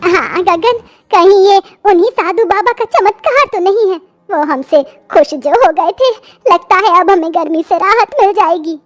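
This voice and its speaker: A woman's voice: very high-pitched, normal speed, few pauses, dry recording, soft background music. A woman voicing a practical, caring young wife in a children's cartoon, supportive and earnest. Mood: amazed. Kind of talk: Hindi children's cartoon story voice acting.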